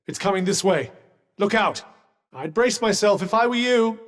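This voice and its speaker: A man's voice: sits high, moderate pace, close to an everyday voice. An actor playing a sardonic male companion in a video game, talking in a dry, teasing, mock-polite way. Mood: cautioning. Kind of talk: video game character voice lines.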